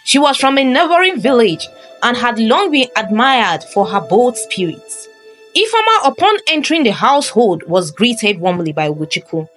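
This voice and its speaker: A woman's voice: fairly high pitch, big pitch swings, normal speed, a bit bright. A woman storyteller giving a scripted, dramatic narration of a folktale. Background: faint music.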